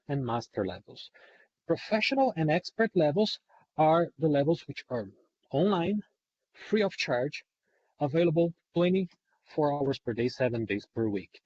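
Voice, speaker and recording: A man's voice: medium pitch, darkish tone, breathy edge. A man who is a non-native English speaker presents a training webinar, repeating and rephrasing points for emphasis. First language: not English. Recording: dull lo-fi online webinar audio.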